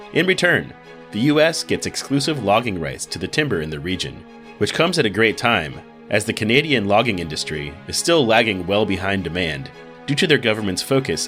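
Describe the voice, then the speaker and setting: A man playing an evening news anchor, reading scripted headlines in a measured, upbeat broadcast manner. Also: low voice, fairly bright tone.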